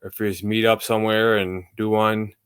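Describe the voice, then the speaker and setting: A man's voice: lowish voice, little pitch movement, normal speed, clean tone. A man in his forties hosting a podcast, asking questions in a casual, chatty interview style. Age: 40s. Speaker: podcast host.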